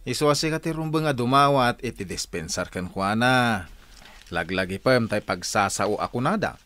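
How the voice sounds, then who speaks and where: A man's voice: medium pitch, lively intonation, moderate pace, a bit bright, dry recording, close to an everyday voice. A man playing a scolding village husband in an Ilocano radio drama, speaking with an Ilocano accent in a lecturing way, with faint music in a dry studio.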